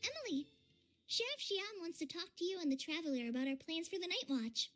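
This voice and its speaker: Very high, earnest young game heroine. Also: female voice, lively intonation, fairly quick, a bit bright, soft background music. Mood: polite, uneasy. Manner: hesitant, earnest. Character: earnest, troubled young woman in a fantasy story. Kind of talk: video game voice acting.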